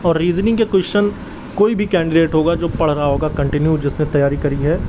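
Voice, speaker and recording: A man's voice: on the high side, steady tone, a little fast. A man giving informal exam updates and advice in an earnest, urging way, addressing listeners like brothers. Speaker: exam-update video creator and advisor. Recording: dull lo-fi audio, clear outdoor traffic-like noise.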